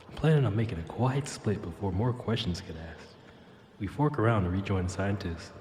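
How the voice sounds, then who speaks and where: A man's voice: lowish voice, close to an everyday voice. A man voicing a flippant, rambling cyborg who narrates his own escape in an audio drama. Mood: narrating, wary. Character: wisecracking male cyborg with a robotic tail, dazed and flippant. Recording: echoey, long reverb, noisy background.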